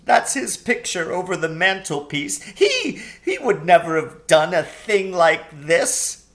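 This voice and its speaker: A high, sobbing male faun, wracked with guilt. The words stretch into miserable wails that swing widely in pitch, full of gloomy self-reproach. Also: big pitch swings, a bit bright, full character voice. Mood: tearful confession. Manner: sobbing, wailing, drawn-out words.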